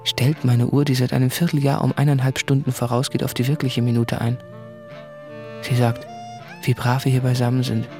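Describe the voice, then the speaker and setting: An actor playing a guilt-ridden male writer in a radio play, confessing in an intense, introspective, articulate monologue with a husky voice. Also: medium pitch, little pitch movement, normal speed, darkish tone, soft background music, close to an everyday voice. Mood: insistent, brooding. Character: anguished, guilt-ridden male writer addressing his fiancée. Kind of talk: literary radio play monologue from letters and diaries.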